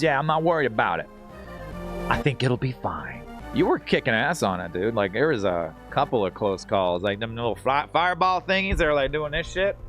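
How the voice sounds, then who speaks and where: A man's voice: fairly high pitch, fairly bright tone. A man chatting casually while live-streaming a game, with slangy, profane, easygoing talk. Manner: informal, slangy and profane, laughs easily.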